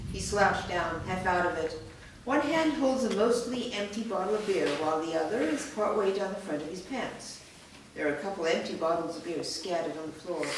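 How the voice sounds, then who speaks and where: A woman's voice: on the low side, noisy background. A woman steadily reading a screenplay's scene directions aloud in a matter-of-fact way. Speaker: reader of a screenplay. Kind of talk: staged reading of stage directions. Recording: echoey room from far off.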